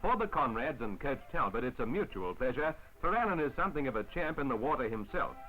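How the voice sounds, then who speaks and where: A man narrating a 1950s newsreel in a formal, measured announcer style on an old film soundtrack. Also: medium pitch, very animated, pushed and bright, poor audio. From Australia. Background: music.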